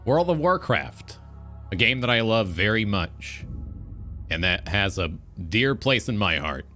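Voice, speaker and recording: A man's voice: on the low side, very animated, unhurried, bright, forceful tone. Male talk-stream host, radio-show style, announcer-like emphasis, widely swinging intonation, faint music underneath.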